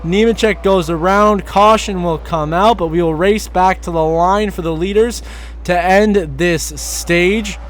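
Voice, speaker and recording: A young man with a high voice gone hoarse calls a sim race play-by-play, lifting into excited shouts when cars crash or swap the lead. A faint hum sits behind him. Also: unhurried. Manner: excited rising calls during crashes and passes. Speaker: sim racing commentator.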